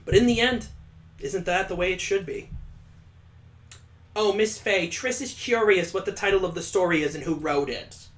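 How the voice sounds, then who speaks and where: A playful man reads a visual novel aloud and breaks in with jokes as the let's play host. His voice sits a little high for a man. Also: moderate pace, pauses often, fairly bright tone. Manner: playful, joking asides.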